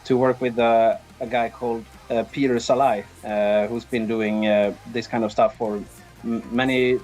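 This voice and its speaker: A man with a Swedish accent talks casually as an interview guest. His pitch sits in the middle, and he often hesitates while he thinks. Faint guitar music plays behind him. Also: flat delivery, moderate pace, a little mellow. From Sweden. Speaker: band drummer, interview guest.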